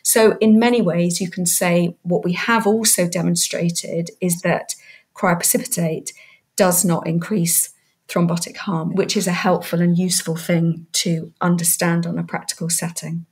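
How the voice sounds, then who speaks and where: A woman's voice: on the low side, flat delivery, normal speed, a little mellow, breathy edge. A woman, a medical researcher, explaining study results as an interview guest.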